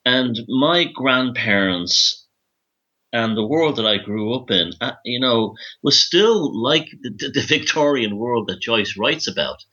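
A man in his fifties with a Dublin Irish accent, a podcast guest telling stories in an animated way with stumbles and restarts. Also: medium pitch, measured pace, slightly rough. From Ireland. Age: 50s. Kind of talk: conversational interview. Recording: dull lo-fi remote line.

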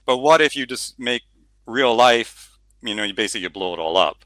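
A man's voice: mid-range voice, lively intonation, moderate pace, bright, forceful tone, no echo. A middle-aged man, a novelist, talking casually about his book in an interview with many fillers and restarts, then reading a passage aloud. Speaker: novelist guest.

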